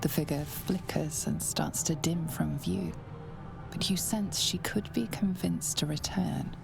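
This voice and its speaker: A solemn storyteller for a dark fantasy game. Her voice is low and heavily gravelled, with measured, hushed delivery over clearly audible music. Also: full character voice, poor audio. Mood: solemn, ominous. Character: solemn female narrator of a dark fantasy tale. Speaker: game narrator. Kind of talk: second-person scripted game narration. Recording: game music behind the narration.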